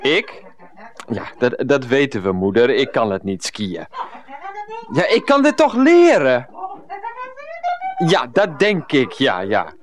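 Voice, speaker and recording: A middle-aged Dutchman in a radio comedy. He is an earnest ex-teacher under pressure, hesitant and wordy, with a Netherlands accent and a medium-pitched voice. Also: big pitch swings, slow, pauses often, crowd noise. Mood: defensive, flustered. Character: Freek, pressured 39-year-old ex-teacher, earnest, henpecked by mother. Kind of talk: radio comedy drama acting.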